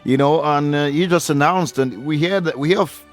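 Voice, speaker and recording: A man's voice: fairly high pitch, normal speed, faint music behind. A male radio co-host talking in an emphatic, heartfelt way, with many fillers. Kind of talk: casual radio talk.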